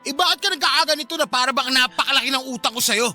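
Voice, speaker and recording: A bitter young husband in a radio drama, scornful and accusing. His voice is high and tense, and he fires his lines off quickly over a music bed. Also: pushed and bright, full character voice. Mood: bitter, resentful. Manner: dismissive, accusing. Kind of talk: Filipino radio drama acting.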